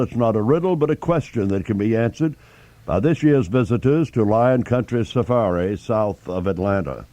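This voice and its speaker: A man reads local news headlines in a measured, matter-of-fact tone. His voice is warm and mellow, recorded close and dry with no room sound, as on a 1970s station aircheck. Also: mid-range voice, dry recording. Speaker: local newscaster. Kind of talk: radio news reading.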